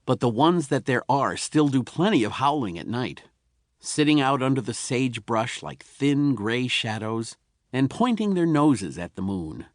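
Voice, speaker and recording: A man reads a children's tall tale with a folksy, expressive touch. His medium-pitched voice rises and falls with plenty of movement. The sound is close and dry, like a studio audiobook. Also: dry recording. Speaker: audiobook narrator. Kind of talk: scripted storytelling narration of a children's tall tale.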